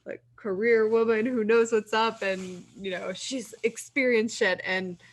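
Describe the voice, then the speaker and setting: A woman's voice: a little high, fairly even intonation, a little slow. A woman in her late twenties co-hosting a podcast, talking in an informal, encouraging way with plenty of "like". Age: late 20s. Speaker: podcast co-host interviewing her co-host. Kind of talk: casual podcast chat.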